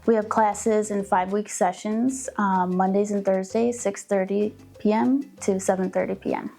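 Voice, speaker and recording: A woman dance instructor talks casually to camera in a medium-pitched voice, giving practical information. Faint music plays behind her. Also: steady tone, moderate pace, gravelly, mellow. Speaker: dance instructor and studio founder. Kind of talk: casual promotional talk to camera.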